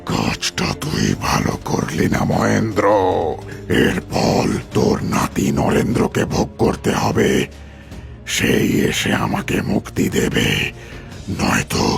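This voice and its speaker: A man voicing a menacing, vengeful demon spirit in an animated horror folktale, growling slow threats and curses. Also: rumbling low, heavily acted. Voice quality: gravelly, growling. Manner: slow, threatening, wildly swooping.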